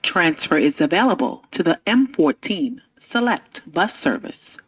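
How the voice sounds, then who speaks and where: A woman reading recorded subway announcements in a calm, clear, informational manner. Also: fairly low pitch, measured pace. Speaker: transit announcer. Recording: slightly muffled, faint ambience.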